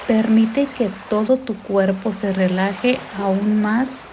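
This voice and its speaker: A woman leads a guided meditation with a soft, mellow voice. She speaks slowly and gently, calming the listener with each instruction. Sea water sounds run clearly behind her. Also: medium pitch, a little hiss or hum. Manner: calm, gentle, soothing, unhurried instruction. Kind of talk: guided mindfulness meditation narration. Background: sea sounds and background music.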